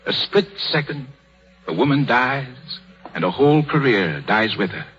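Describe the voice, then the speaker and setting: An actor playing an aging, anxious surgeon full of regret and self-pity, husky, in a 1930s radio drama. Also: male voice, medium pitch, very animated, unhurried, a bit bright, heavily acted. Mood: despairing. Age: older. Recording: dull 1930s radio broadcast with music.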